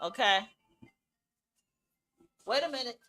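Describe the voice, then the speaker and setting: A woman's voice: on the high side, lively intonation, takes it slowly, bright, forceful tone, soft background music. A woman hosting a livestream podcast and reacting to a video, talking bluntly and with profanity. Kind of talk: casual livestream commentary.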